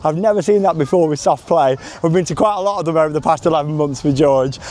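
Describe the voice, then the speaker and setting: A man with a slightly high-pitched voice talks casually to his vlog audience. He is chatty and enthusiastic, rambling on as he commentates on what is around him. The recording is made outdoors, with faint background noise behind his voice. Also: quick pace, a little mellow. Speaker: theme park vlogger and host. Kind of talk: casual vlog narration to camera. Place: outdoors at a theme park, walking around.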